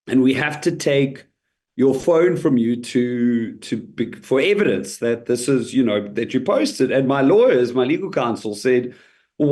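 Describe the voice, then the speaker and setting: A man's voice: mid-range voice, darkish tone. A man guesting on an interview as a comedian, telling his story in a chatty way, laughing easily and restarting his sentences often.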